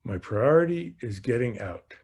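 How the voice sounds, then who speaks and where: A man's voice: medium pitch, lively intonation, moderate pace, darkish tone, husky. A man working as a golf coach, explaining things conversationally in a live question session, often repeating phrases as he goes. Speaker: golf coach hosting an online Q&A. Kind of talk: conversational instruction.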